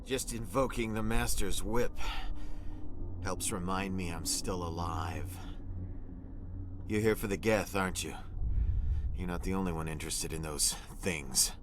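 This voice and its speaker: A man's voice: low-pitched, a little slow, pauses often, noisy background. A man playing a tormented, half-mad colonist in a video game, strained and erratic, defiant through pain. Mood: twisted amusement. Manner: erratic, strained, breaking into cries. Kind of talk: dramatic video game acting.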